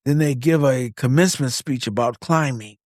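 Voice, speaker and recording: A man's voice: medium pitch, normal speed, darkish tone, dry recording, studio quality. A man co-hosting a podcast, giving scripted, conversational commentary in short, wry statements. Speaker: podcast co-host.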